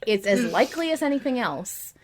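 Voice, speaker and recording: A woman podcast host talking casually and emphatically like an excited fan, often repeating and restarting her phrases. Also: fairly high pitch, brisk, a little hiss or hum. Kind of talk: casual fan discussion, ranting.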